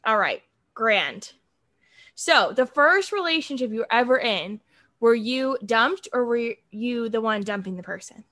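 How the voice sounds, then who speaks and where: Bright, animated American woman in her thirties. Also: a little high, unhurried, dry recording. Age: 30s. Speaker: podcast co-host. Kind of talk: casual chat.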